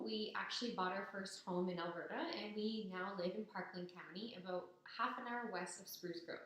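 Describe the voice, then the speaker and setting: Raspy woman, very echoey. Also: medium pitch. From Ontario, Canada. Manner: chatty, upbeat, persuasive. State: hoarse after a cold. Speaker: business student. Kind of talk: persuasive speech to camera. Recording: very echoey, roomy sound.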